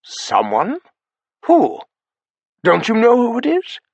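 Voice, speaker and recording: A man's voice: very high-pitched, huge pitch swings, slow pace, dry recording, pitched above the performer's own voice, full character voice. A man voicing a weary aristocratic male agent who turns urgent and clipped when alarmed.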